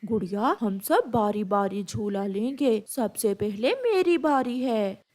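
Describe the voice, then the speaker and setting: A woman voicing an excitable, playful young bird friend in a children's cartoon, eager and childlike. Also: a little high, slow pace. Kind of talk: children's cartoon story voice acting.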